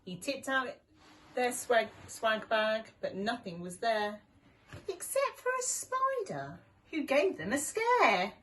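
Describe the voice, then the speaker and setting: A woman's voice: fairly high pitch, sing-song, slow, fairly bright tone. A woman reading a children's rhyming story with dramatic, rhythmic expression. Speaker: deputy headteacher reading a story.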